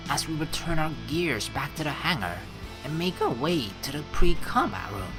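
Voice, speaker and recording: A man hosting a let's play, mixing read-aloud narration with rambling, profane casual commentary. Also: fairly high pitch. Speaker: let's play host reading game text aloud.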